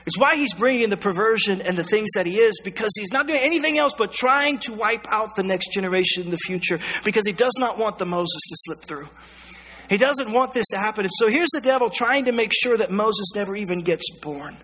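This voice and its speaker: High, scratchy-voiced American preacher man in a hall. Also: animated, a little fast, pushed and bright. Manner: emphatic, colloquial, repeats phrases for emphasis. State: scratchy, strained voice going hoarse. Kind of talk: sermon. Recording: long hall reverb.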